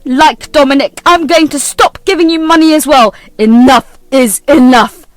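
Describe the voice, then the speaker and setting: A woman's voice: high-pitched, normal speed, no echo, studio quality, soft background music, close to an everyday voice. Woman doing a furious grown son. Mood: angry. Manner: harsh and scolding.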